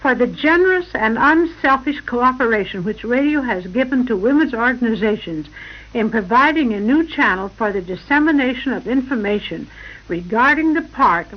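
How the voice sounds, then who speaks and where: A woman's voice: a little high, muffled recording. A woman delivering a formal, declamatory scripted address as a spokeswoman on an old 1940 radio broadcast. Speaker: speaker for women's organizations.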